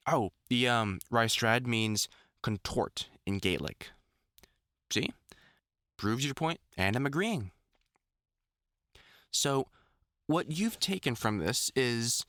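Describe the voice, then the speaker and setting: A man playing an older, experienced vampire hunter in an intimate audio roleplay, talking to the listener in a halting, wry, earnest way. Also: lowish voice, sharp tone, no room sound, clean studio sound. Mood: thoughtful. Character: gruff, caring older experienced male vampire hunter, mentoring.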